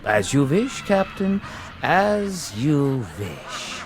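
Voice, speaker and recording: An actor playing a calm, accusing former prisoner in a vintage television drama, measured and insinuating, with mocking politeness. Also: male voice, a little high, noisy background, heavily acted. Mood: ominous, mocking.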